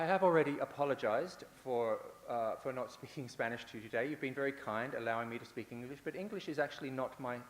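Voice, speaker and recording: Man speaking in an echoey hall. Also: mid-range voice. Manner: repetitive, rhetorical, with fillers and restarts. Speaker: conference speaker. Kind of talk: conference talk. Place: large conference hall. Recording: echoey hall with faint hiss.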